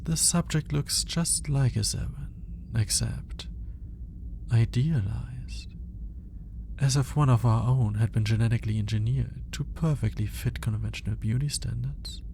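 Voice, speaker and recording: A man playing a cold, condescending alien scientist in an ASMR roleplay, speaking in a clinical, formal, deliberate way. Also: medium pitch, creaky, mellow, a little hiss or hum. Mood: clinical, intrigued. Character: cold, aloof male alien scientist studying a human captive.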